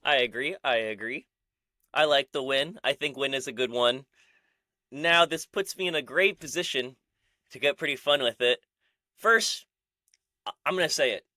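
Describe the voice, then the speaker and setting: Excitable male co-host, bright forceful tone, dry close sound. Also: mid-range voice, moderate pace, long pauses, no room sound. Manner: chatty, excitable, loose. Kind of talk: casual podcast chat.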